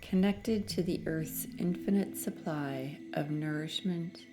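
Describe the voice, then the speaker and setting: A woman narrating a guided meditation in a soothing, measured manner with long pauses between phrases. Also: low-pitched.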